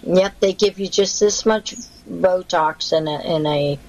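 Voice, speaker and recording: A woman's voice: fairly low pitch, a little slow, a loud crowd, low-quality recording. Rambling woman with a faltering voice. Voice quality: voice condition that makes it falter. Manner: rambling, explanatory, with many restarts. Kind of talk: casual chat.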